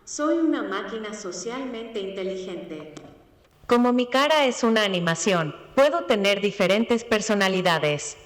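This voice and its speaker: A synthesized female voice speaking as a calm social robot that explains itself. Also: medium pitch, a little slow, lightly acted. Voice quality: synthetic computer voice. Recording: long hall reverb.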